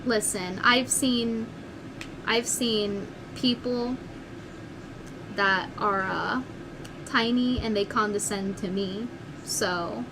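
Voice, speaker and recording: A woman's voice: medium pitch, takes it slowly, long pauses, background noise. A woman hosting a call-in show, teasing and mocking her callers bluntly. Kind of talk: mocking comedic phone interview.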